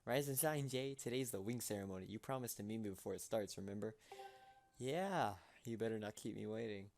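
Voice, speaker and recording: A man's voice: fairly low pitch, fairly quick, vocal fry. Man putting on a teasing, bossy young woman. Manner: teasing, chiding. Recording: loud game music behind.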